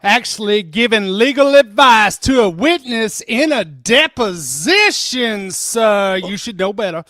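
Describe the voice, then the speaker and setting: A performer playing a sarcastic, pressing attorney who questions a witness in a pointed, deliberate way during a dramatic deposition reading. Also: mid-range voice, lively intonation, sharp tone, no echo, clean studio sound. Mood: stern.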